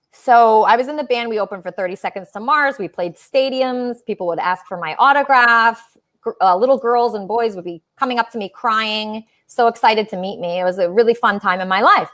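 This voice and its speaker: A woman's voice: medium pitch, moderate pace, a bit bright. A woman hosting a casual livestream talk show, chatting with callers in a gushing, emphatic way.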